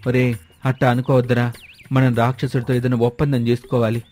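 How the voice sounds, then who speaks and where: A man's voice: mid-range voice, flat delivery, a little fast, darkish tone, soft background music, natural voice. A man voicing a boastful, foolish village schemer with confident, rustic colloquial speech in a moral story. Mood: self-assured.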